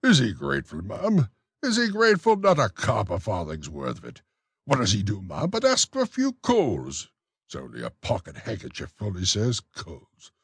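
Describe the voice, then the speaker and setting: A man's voice: lowish voice, huge pitch swings, normal speed, creaky, breathy edge, dry recording, voice pushed up, heavily acted. A man voicing a pompous, self-important male parish beadle who lectures in a blustering way. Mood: indignant. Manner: blustering, self-satisfied, preachy.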